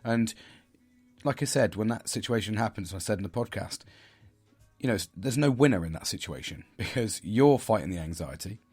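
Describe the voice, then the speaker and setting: A husky middle-aged man from the United Kingdom hosting a casual solo podcast, talking in a hesitant way with many fillers and restarts. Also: fairly low pitch, expressive, moderate pace, a little mellow, faint music behind. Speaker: podcast host.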